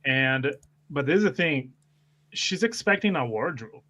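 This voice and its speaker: A man's voice: mid-range voice, lively intonation, measured pace, sharp tone. A man who plays tabletop games, explaining himself in casual conversation with his group. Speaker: tabletop roleplay player.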